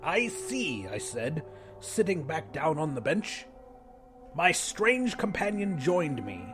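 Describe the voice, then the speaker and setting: A man narrating first-person fiction in a dramatic, expressive, scripted audiobook style. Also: fairly high pitch, takes it slowly, music in the background.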